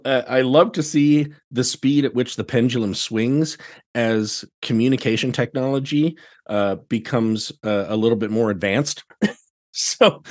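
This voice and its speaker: Enthusiastic man chatting as a guest. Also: mid-range voice. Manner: enthusiastic, animated storytelling with acted-out asides. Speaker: podcast guest, theatre director. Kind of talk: casual chat.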